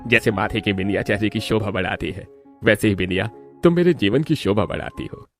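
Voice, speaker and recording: A man's voice: fairly low pitch, a little fast, a bit bright, faint music behind. A man playing an affectionate husband in a cartoon story. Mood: adoring. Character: affectionate husband praising his wife, cartoon. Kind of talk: scripted cartoon story dialogue.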